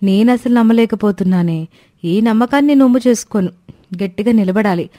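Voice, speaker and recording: A woman's voice: medium pitch, fairly quick, warm tone, dry recording, voice pushed up, natural voice. A greedy young daughter-in-law, voiced by a woman, gushes with calculated devotion in cartoon-story style. The voice sits close and dry, with no room around it. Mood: greedy surprise. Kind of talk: Telugu moral cartoon story voice acting.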